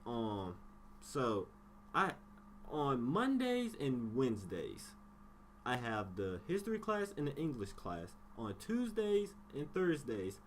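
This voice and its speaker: A man's voice: mid-range voice, a little hiss or hum. A young adult man telling a personal story casually and bluntly, slipping into bits of acted dialogue with slangy swearing. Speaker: YouTube storyteller.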